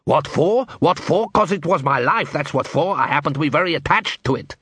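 A man plays a short, feisty dwarf constable from a fantasy storybook. He is exasperated and snappish, with a raised, husky voice that swings widely in pitch. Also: expressive, a little fast, no room sound. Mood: indignant, furious. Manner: shouting, irascible, snappish. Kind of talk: audiobook character voice.

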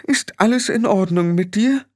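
A man's voice: high-pitched, normal speed, pitched above the performer's own voice, natural voice. A man reading a story, voicing a flustered older woman witch who chatters nonstop and speaks shakily. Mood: fussing. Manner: fussy nonstop chatter, shaky.

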